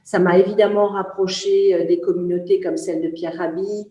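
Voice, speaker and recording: A woman's voice: on the low side, level tone, normal speed, warm tone, reverberant. A woman from Senegal gives a formal testimonial about her work in long, earnest sentences broken by frequent hesitations. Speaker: speaker presenting a project.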